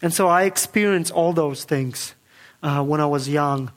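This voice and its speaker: A young man gives his church testimony, his voice a touch higher than most men's. He talks earnestly and haltingly, with many fillers and restarts as he searches for words. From Kazakhstan. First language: Kazakh. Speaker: guest speaker giving testimony.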